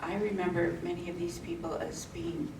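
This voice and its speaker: A woman reading a list of names at a community meeting in a slow, halting way, stopping to add short remarks. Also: lowish voice, sing-song, creaky, far from the mic. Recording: echoey room audio recorded from afar, with noise.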